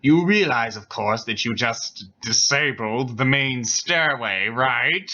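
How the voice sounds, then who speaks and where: Guy acting out a tiny angry professor from a story, full of contempt, forceful voice. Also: mid-range voice, animated, slow pace, dull audio. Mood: scornful anger. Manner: glowering, contemptuous. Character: furious short male professor, menacing and scornful.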